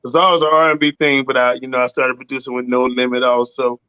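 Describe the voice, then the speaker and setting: A man with a rough, raspy voice, a singer and producer talking casually in an interview and often saying "you know what I'm saying". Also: medium pitch, little pitch movement, fast talker, sharp tone, no room sound, highs cut off. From Southern US.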